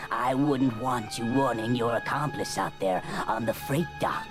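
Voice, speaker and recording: An actress playing a cold, gloating villain in a space opera audio drama, with a menacing, sneering delivery. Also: female voice, rumbling low, unhurried, over music. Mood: smug, menacing. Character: cold gloating villain Cipher, outlaw boss with a blaster.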